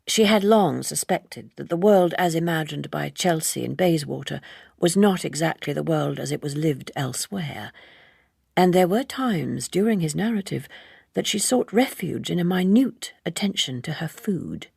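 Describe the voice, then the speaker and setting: A woman reading literary narration in a measured, expressive storytelling manner. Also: sits low, vocal fry, husky, no room sound, clean studio sound. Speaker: audiobook narrator.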